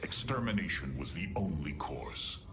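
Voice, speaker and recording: An actor voicing an arrogant, contemptuous alien warlord in a video game, with a slow, sneering, haughty delivery. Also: male voice, very deep voice, big pitch swings, over music. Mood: scornful. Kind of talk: dramatic video game acting.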